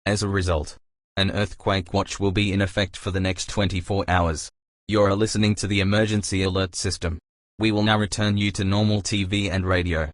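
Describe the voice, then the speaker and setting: A man playing a solemn emergency alert announcer, reading scripted warnings in a formal, measured way. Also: low-pitched, little pitch movement, no echo, studio quality, close to an everyday voice. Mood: solemn, official.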